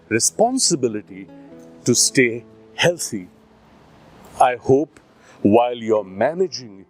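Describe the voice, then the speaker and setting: A man with an Indian accent hosting a series for children, introducing it in an encouraging way with deliberate phrasing. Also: mid-range voice. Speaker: series host. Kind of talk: scripted introduction addressed to children. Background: plucked guitar music.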